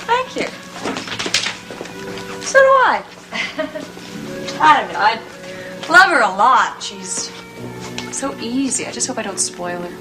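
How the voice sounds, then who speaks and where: A woman's voice: on the high side, very animated, slow, long pauses. An actress playing a devoted, self-doubting young mother in soap drama dialogue. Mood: tender, self-doubting. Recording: music behind dialogue.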